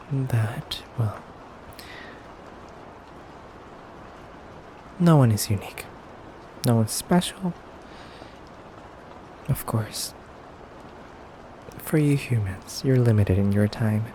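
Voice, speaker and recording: A man playing an aloof, condescending male vampire in an ASMR roleplay, measured and halting, often dropping toward a breathy whisper. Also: mid-range voice, noisy background, close to an everyday voice. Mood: soft, musing, then cool, condescending. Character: aloof, condescending, elegant femboy vampire interrogator. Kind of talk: slow, soft ASMR roleplay monologue.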